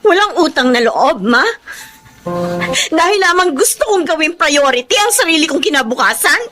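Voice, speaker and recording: Actress as an exhausted high-voiced breadwinner daughter. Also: female voice, animated, moderate pace, pushed and bright, faint music behind. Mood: hurt. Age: young adult. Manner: scolding, tearful, pleading. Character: weary young woman, family breadwinner. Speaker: daughter who supports her family. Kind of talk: TV-style family drama acting.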